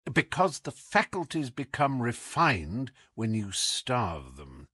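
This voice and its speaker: A man's voice: mid-range voice, huge pitch swings, takes it slowly, bright, forceful tone, no room sound, studio quality, full character voice. A man reading an audiobook as a whimsical, imperious detective with a British accent, theatrical, commanding and wry. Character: brilliant, whimsical, imperious male English detective.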